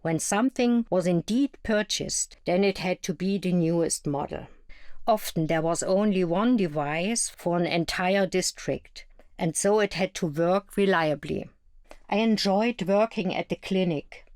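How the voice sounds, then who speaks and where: A woman from East Germany narrates a scripted first-person account. Her voice is on the low side, and the sound is close and dry with no room around it. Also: measured pace, a little mellow, dry recording.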